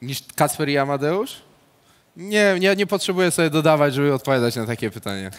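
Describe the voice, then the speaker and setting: A man's voice: fairly high pitch, brisk, clean tone, big-room sound. A young man with a Polish accent answering questions on a studio stage, hesitant and swearing, on a headset mic. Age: young adult.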